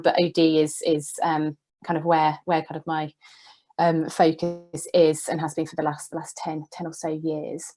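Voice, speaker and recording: A woman co-hosting an unscripted podcast, speaking hesitantly with many filler ums. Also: deep voice. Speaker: podcast co-host.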